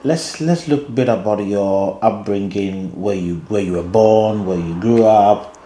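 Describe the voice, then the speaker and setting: A man's voice: lowish voice, measured pace, dark tone. A man hosting a conversational interview, repeating words as he phrases his questions. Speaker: interview host. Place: guest's home, indoors. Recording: roomy indoor echo.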